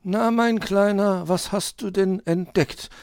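Man voicing a kindly, high-voiced uncle owl. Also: fairly even intonation, slow, darkish tone, clean tone, dry recording, studio quality. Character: kindly avuncular male owl, helpful to children. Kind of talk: children's storybook character voice.

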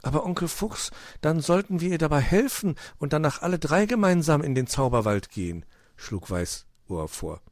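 A man's voice: on the high side, sing-song, moderate pace, no room sound, clean studio sound, pitched above the performer's own voice. A man voicing an earnest, kind-hearted little boy fox in a children's story. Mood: concerned.

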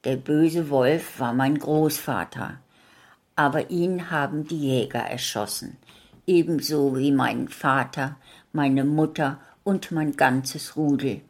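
A gentle, lonely young wolf from a storybook, as a woman reads him. He speaks slowly and softly, in a low, kindly voice. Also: exaggerated voice.

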